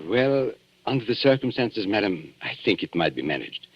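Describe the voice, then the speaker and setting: Urbane antique dealer, low swooping voice. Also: male voice, big pitch swings, normal speed, slightly rough, no room sound, heavily acted. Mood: courteous, smooth. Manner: polished, theatrical, formal. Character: urbane, courteous male London antique dealer, slyly wry. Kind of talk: 1940s radio acting, narration and dialogue. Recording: dull old radio broadcast with music.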